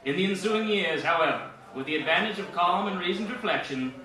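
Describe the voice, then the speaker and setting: A man's voice: sits high, expressive, brisk, pushed and bright. Man playing pompous 1940s president, echoing hall. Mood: pompous, solemn. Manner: pompous, formal oratory. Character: pompous 1940s president orating with smug grandeur. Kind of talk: parody 1940s radio political speech. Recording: distant echoey hall with crowd behind.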